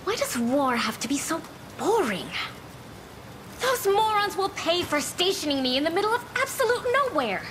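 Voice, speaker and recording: High-voiced haughty young noblewoman. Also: sing-song, moderate pace, noisy background. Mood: bored, irritated. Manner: theatrical, imperious, coquettish. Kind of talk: video game cutscene acting. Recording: loud game music behind. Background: game music.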